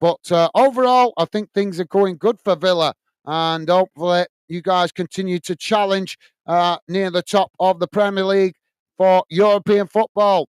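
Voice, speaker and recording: A man's voice: high voice, steady tone, normal speed, clear, resonant tone, dry recording. A man from Bolton with a Lancashire accent hosting a live football watch-along, calling the play and chatting to viewers, often calling them mate between uh fillers. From Bolton, north of England. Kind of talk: live match commentary and chat reading. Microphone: earphones and desk microphone.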